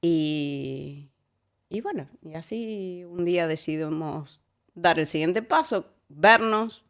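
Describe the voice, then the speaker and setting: A woman in her forties from Argentina with a Córdoba accent, telling her life story as a guest in a relaxed interview, talking haltingly, repeating words and laughing easily. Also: on the low side.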